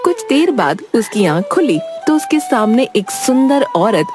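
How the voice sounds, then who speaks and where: A woman's voice: a little high, very animated, a little slow, over music. A woman narrator reading a scripted story in storytelling style.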